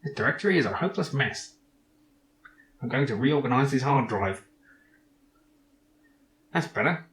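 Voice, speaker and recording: A man's voice: mid-range voice, animated, normal speed, pauses often, a bit bright, reverberant, slight background noise, lightly acted. A man voicing a cocky little robot sidekick from an adventure game, sarcastic, pedantic and dismissive. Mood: huffy, smug.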